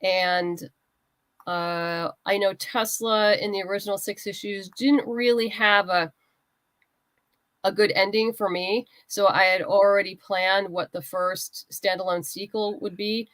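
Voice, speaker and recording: A woman's voice: medium pitch, fairly even intonation, a little slow, a bit bright, no room sound. A woman speaking casually as a guest writer in an interview, explaining her creative process and acting out little asides.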